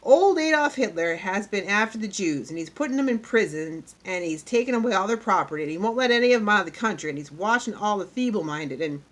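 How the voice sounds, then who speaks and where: A woman voicing an earnest young schoolboy in an audiobook, reciting loudly and haltingly in a folksy way. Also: mid-range voice, expressive, lightly acted. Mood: earnest, eager.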